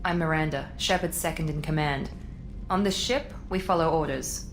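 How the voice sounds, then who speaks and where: A woman's voice: sits low, moderate pace, slight background noise. An actress playing a stern, haughty female second in command in a video game, clipped and imperious.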